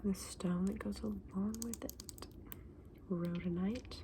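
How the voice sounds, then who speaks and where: A woman playing a kindly, chatty fantasy saleswoman in an ASMR roleplay, mixing breathy whispers with soft, gentle, unhurried speech. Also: medium pitch, steady tone, noisy background, lightly acted. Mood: warm, pleased. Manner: gentle, unhurried, hesitant, endearing customer address. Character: kindly, chatty saleswoman in a dragon egg shop.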